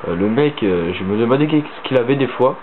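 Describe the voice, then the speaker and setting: A man's voice: mid-range voice, expressive, a little fast. A teenage boy with a cold and a stuffy nose, rambling casually with many hesitations as a gaming channel host. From southern France. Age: teens. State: stuffy-nosed from a cold, sniffling. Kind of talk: casual gaming commentary voice-over. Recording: tinny phone-like mic with faint hiss.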